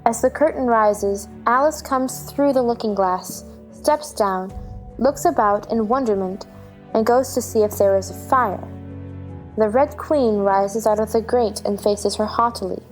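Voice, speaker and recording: A woman serves as narrator, reading scripted stage directions for a dramatized play. Her voice is on the higher side, with faint music underneath. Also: unhurried, low-quality recording.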